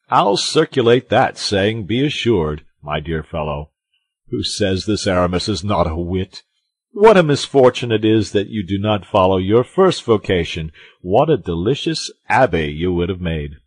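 A man's voice: lowish voice, sing-song, unhurried, husky, dry recording, voice pushed up, heavily acted. A man voicing a boastful, blustering musketeer in a storybook reading, with pompous, emphatic, theatrical delivery. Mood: delighted mockery. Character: boastful, loud, self-satisfied male musketeer, blustering and theatrical. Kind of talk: audiobook character acting.

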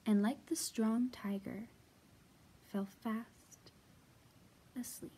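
A woman reading a bedtime story in a slow, hushed whisper. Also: a little high, steady tone, creaky, a little hiss or hum.

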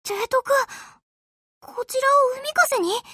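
A woman's voice: extremely high pitch, slow pace, heavy background noise. A woman voicing an earnest, girlish young warship girl in an anime game. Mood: shy, happy. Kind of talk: Japanese anime game voice acting.

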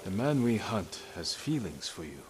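An actor playing a calm, loyal samurai in a video game, measured, quiet and serious. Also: male voice, lowish voice, over music. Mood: calm, steady. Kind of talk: restrained video game acting.

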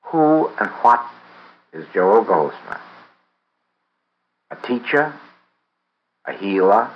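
A man reading a spiritual book aloud in a measured, deliberate way, pausing often between phrases. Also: fairly low pitch, highs cut off. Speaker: reader of a book. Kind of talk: read-aloud audiobook of a spiritual text.

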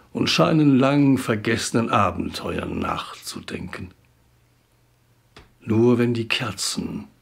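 A man recites poetry in a husky voice with a gravelly creak. He goes very slowly, setting each phrase apart with weighted pauses and expressive emphasis. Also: mid-range voice. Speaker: poetry reader.

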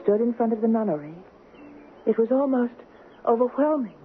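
An actress playing an earnest, romantic young woman haunted by the past, in 1970s radio drama style with dramatic pauses. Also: a little high, animated, natural voice. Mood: wistful, confiding. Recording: noisy, phone-like 1970s radio recording.

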